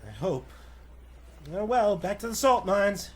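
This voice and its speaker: A man's voice: high-pitched, very animated, unhurried, slight background noise. A man voicing a mild-mannered reporter, hesitant and stammering, in the style of a 1940s radio serial. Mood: rueful. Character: meek, flustered, mild-mannered male reporter.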